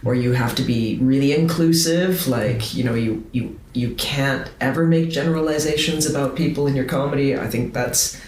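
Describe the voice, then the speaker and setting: A man's voice: medium pitch, moderate pace, hardly any pauses. A man talking as a guest on a podcast in casual conversation, chatty and thinking aloud. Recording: roomy sound with faint background noise.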